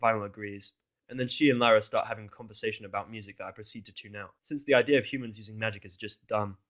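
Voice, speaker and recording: A man's voice: on the low side, steady tone, fast, fairly bright tone. A man reading fiction aloud as a dramatic first-person narration. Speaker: audiobook reader.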